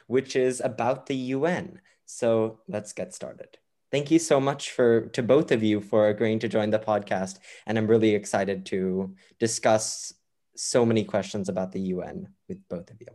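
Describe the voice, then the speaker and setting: A man's voice: lowish voice, darkish tone. A young man hosting a podcast, upbeat and reading prepared questions to his guests. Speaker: podcast host.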